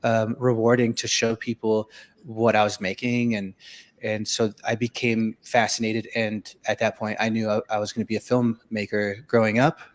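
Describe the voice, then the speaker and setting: A man's voice: fairly low pitch, level tone, normal speed. A man born in Venezuela, a filmmaker and musician, telling his life story in a casual interview with many fillers and restarts.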